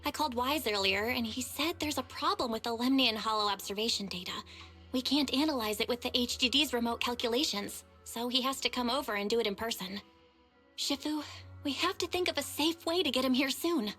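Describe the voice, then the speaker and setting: A woman's voice: fairly high pitch, a little fast, fairly bright tone, soft background music. An actress playing an earnest, level-headed young female investigator in a video game, casual and thoughtful. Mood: thoughtful, concerned.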